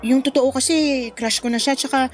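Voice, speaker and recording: A woman's voice: high voice, steady tone, normal speed, lightly acted. A young woman with a Filipino accent playing a smitten, coy woman in a radio drama, plaintive and protective of her suitor. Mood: defensive, hurt.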